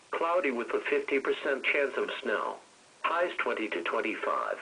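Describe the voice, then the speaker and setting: A man's voice: mid-range voice, a bit bright, breathy edge. An American man reading a scripted weather forecast on the radio in a formal, synthetic announcer delivery. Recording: radio broadcast with telephone-like tone.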